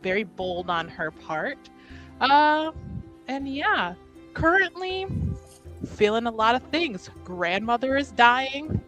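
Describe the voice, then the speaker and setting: A woman with a fairly high voice takes her time as she talks. She is casual and joking, a tabletop roleplayer on a stream, with soft music underneath. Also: sing-song, takes it slowly. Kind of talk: casual, joking character introduction.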